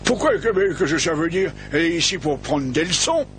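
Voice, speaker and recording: A man voicing a good-natured, excitable uncle who stammers when flustered. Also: a little high, brisk. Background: background music score.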